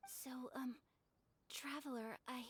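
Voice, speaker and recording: A woman playing a gentle young noblewoman in a game, speaking softly and formally with polite, earnest reserve. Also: high-pitched, fairly even intonation, slow pace, full character voice. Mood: gentle, resolved. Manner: soft, formal, hesitant, breathy at times. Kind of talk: animated game dialogue.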